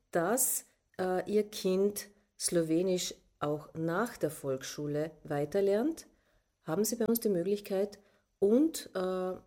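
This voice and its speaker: A Carinthian woman. Her voice is mellow and sits a little low. She talks thoughtfully, pausing and restarting often. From Carinthia, Austria. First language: Slovene. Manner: thoughtful, many fillers and restarts. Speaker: teacher, guest. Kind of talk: personal account in interview.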